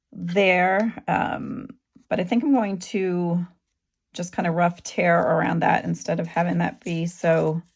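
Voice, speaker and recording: Middle-aged woman, sore throat, low and gravelly, relaxed halting craft-tutorial host. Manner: relaxed, halting, with frequent ums. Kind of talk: casual craft tutorial narration.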